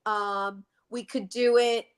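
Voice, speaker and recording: A woman's voice: mid-range voice, little pitch movement, slow, clean tone. Woman in her sixties, from the Philadelphia Main Line, co-host, casual chat, reflective, laughs often. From Philadelphia Main Line, USA. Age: 60s. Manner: reflective, laughs often, repeats words while thinking.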